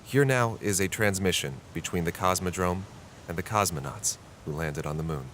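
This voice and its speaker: Grave reflective investigator, deliberate radio drama narration, low pitch, clear background noise. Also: male voice, fairly bright tone. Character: grave, reflective male investigator narrating Cold War secrets.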